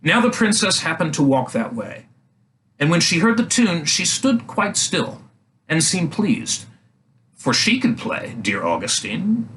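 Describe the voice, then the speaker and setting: A man reading a fairy tale aloud for young children as a storyteller, in an animated style. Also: on the high side, unhurried, rough recording. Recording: faint background noise.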